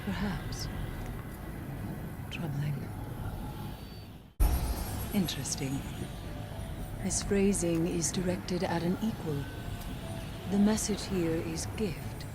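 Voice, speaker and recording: A composed scholar in a video game, her voice very low for a woman. She speaks slowly and deliberately, dry and curious, as if weighing each word. There is clear noise behind her. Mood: pensive, analytical. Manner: measured, deliberate, pensive delivery. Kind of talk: scripted game cutscene narration.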